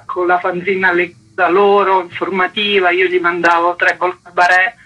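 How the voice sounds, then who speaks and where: A woman's voice: lowish voice, flat delivery, normal speed, breathy edge, lo-fi sound. A middle-aged woman talking informally in a radio interview as a fanzine founder.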